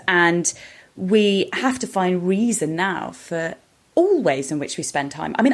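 A woman co-hosting a podcast, explaining her opinions in a reflective, articulate, conversational way. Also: on the low side. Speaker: podcast co-host. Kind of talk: casual opinion chat.

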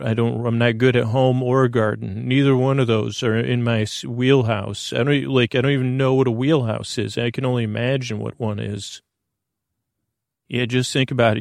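A man with a creaky voice hosting a sleep podcast, meandering through halting, self-deprecating tangents. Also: medium pitch, no room sound, studio quality. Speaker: podcast host. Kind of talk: rambling bedtime sleep podcast monologue.

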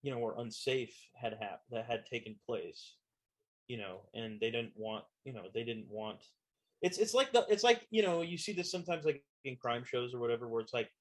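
Dude talking casual, tons of you know and like, mid voice. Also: male voice, medium pitch, expressive, moderate pace. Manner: hedging, filler-heavy talk with many "you know"s. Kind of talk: casual chat about a TV show.

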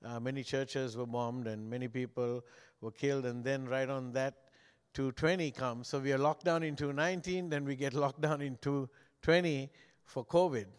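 A man's voice: medium pitch, steady tone, normal speed, smooth, big-room sound. An older man from Sri Lanka, speaking English as a second language, preaching as a guest pastor in a joking, conversational way. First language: not English. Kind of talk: sermon.

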